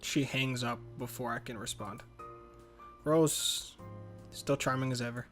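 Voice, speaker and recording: A man's voice: mid-range voice, fairly even intonation, a little slow, fairly bright tone, faint music behind. A man hosting a let's play, reading game text aloud and adding casual commentary. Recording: faint background noise.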